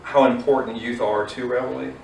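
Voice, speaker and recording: Guy pastor doing a casual interview, medium pitch, roomy echo, bit of background noise. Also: level tone, brisk, creaky, a little mellow. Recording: echoey, distant mic, faint noise.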